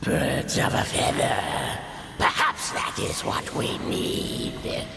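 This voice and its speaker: An actor playing a brooding, eerie man in a video game vision, with a hoarse, gravelly voice. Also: medium pitch, sing-song, takes it slowly, full character voice. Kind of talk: dramatic video game acting. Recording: loud noisy ambience.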